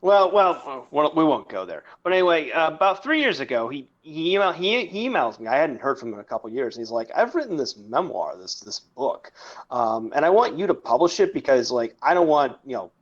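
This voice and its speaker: A man in his thirties hosting a casual podcast chat, telling a long story in a halting, rambling way. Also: on the high side, sing-song, dull audio. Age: 30s. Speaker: podcast host and publisher.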